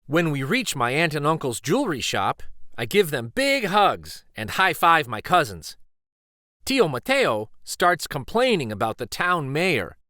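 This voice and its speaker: A man narrates a picture book for children in a bright, forward voice. His delivery is deliberate and expressive, taking its time over each phrase, and the sound is dry and close. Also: on the high side, no echo. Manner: deliberate, animated storytelling delivery. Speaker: children's read-aloud storyteller.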